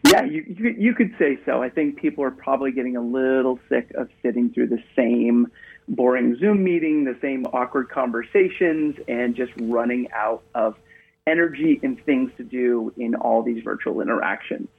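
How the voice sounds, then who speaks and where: A man's voice: medium pitch, expressive, moderate pace, gravelly, husky. A man from Maine, a guest speaker, chatting in an upbeat storytelling way over a remote line in a radio interview. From Maine, USA. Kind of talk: casual interview conversation. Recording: tinny phone line.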